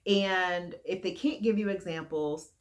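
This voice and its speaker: A young adult woman giving frank, earnest advice to camera in a heavy, loud voice that carries. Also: lowish voice, measured pace. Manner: frank and earnest, with fillers and restarts. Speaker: vlogger giving career advice.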